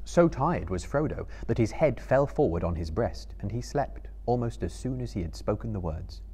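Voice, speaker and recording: A man in his thirties reads literary fiction aloud as a dramatic storyteller. His voice sits low and has a husky grain. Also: expressive, moderate pace, mellow. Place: book-lined room before a small live audience.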